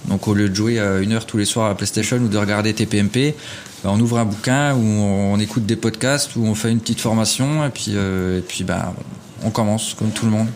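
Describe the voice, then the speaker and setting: A man's voice: lowish voice, fairly even intonation, a little fast, a little mellow, slight background noise. A man being interviewed on a podcast in an apartment, giving earnest advice with frequent hesitations. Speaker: guest, property investor. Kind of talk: casual interview chat. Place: apartment rental abroad.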